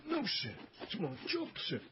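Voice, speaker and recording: An actor playing a deferential servant in a radio drama, a theatrical and confiding teller of dark family secrets. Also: male voice, huge pitch swings, normal speed. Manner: theatrical, confiding, hushed to booming. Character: deferential, gossiping male household servant, darkly dramatic storyteller. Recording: dull, noisy radio drama recording.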